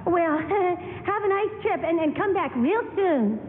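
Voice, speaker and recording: Earnest girlish daughter in old radio play, super high voice, echoey tinny hall. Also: lively intonation, heavily acted. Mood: innocent, awkward. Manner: halting, emotional, exclamatory. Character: earnest, guileless young daughter, girlish and tearful. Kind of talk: live re-enactment of 1940s radio drama. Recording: echoey live hall, tinny noisy broadcast.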